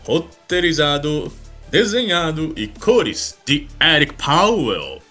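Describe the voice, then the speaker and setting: Casual Brazilian man hosting over soft music. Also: on the high side. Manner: colloquial, amused. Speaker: podcast host. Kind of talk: casual comics commentary.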